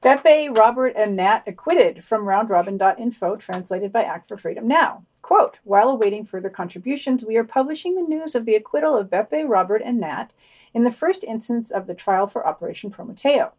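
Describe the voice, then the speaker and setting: Higher-voiced woman reading news briefs. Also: animated, a little fast, a little mellow. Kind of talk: scripted news reading with brief casual comments.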